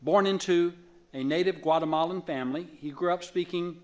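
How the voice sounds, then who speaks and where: A man speaks formally as a board chair introducing an honoree at an awards evening. His voice sits a little higher than most men's. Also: fairly quick, darkish tone. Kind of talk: formal speech at an awards ceremony.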